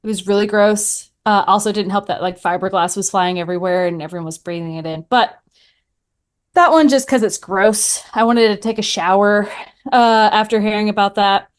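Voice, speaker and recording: A woman chats casually as a podcast guest. She tells things in an animated, storytelling way, with a mid-pitched voice and little acted-out quotes. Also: moderate pace. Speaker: podcaster answering questions as a guest. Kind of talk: casual chat.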